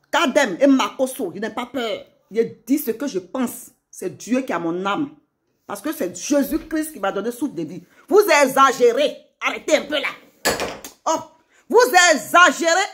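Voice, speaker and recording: A woman speaking straight to her viewers in an emotional monologue, indignant and pleading, repeating phrases for emphasis. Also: sits high. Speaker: social media commentator.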